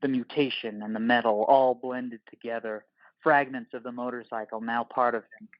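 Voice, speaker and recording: A male narrator with a medium-pitched voice reads action lines for an audio drama. His phrasing is clipped, deliberate and dramatic, close and dry, with a slightly dull sound. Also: steady tone, no room sound. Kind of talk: scripted narration of screenplay directions.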